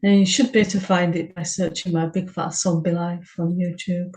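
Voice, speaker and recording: A woman co-hosting a story podcast, chatting and then reading the prologue of her own novel in a steady narrating voice. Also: fairly low pitch, brisk. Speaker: podcast co-host, author. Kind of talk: casual chat and reading a book prologue.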